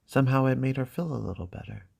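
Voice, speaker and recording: A man's voice: mid-range voice, quick pace, creaky, darkish tone, breathy edge. A man narrating a fantasy graphic novel as a scripted dramatic reading. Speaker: amateur narrator, video creator.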